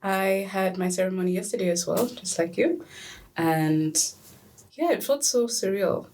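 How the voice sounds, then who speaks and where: A woman's voice: medium pitch, a little slow, darkish tone, a little hiss or hum. A young woman from Zambia who just finished her master's, chatting happily as a podcast guest with frequent laughs. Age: young adult. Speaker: graduating student guest. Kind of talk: casual podcast interview.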